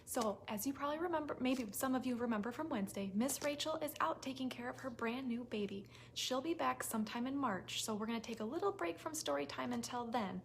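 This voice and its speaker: A woman hosting a toddler story time, reading aloud with expressive, simple phrasing for small children. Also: sits high, brisk, vocal fry, few pauses. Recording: noisy recording with clicks.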